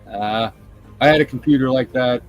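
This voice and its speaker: A man's voice: medium pitch, moderate pace, faint music behind. A man co-hosting a live stream, easygoing and making dry quips. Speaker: stream co-host. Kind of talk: casual live stream chat. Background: quiet tune playing.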